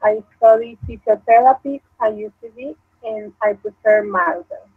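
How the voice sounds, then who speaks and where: Husky young woman on tinny call audio. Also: fairly high pitch, steady tone, moderate pace, no echo. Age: 20s. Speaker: student participant. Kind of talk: casual group discussion. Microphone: video call.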